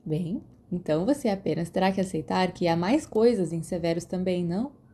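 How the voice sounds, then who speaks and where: Woman voicing an outspoken teenage witch girl, mellow voice. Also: fairly low pitch, a little fast. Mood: pointed, frank. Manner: frank, pointed.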